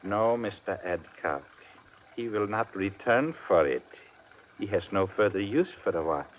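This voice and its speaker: Cryptic pawnbroker, formal and unruffled, lilting delivery, 1950s radio suspense, dull noisy recording. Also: male voice, on the low side, lively intonation. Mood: smooth, knowing. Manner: formal, measured, philosophical, precise diction without contractions.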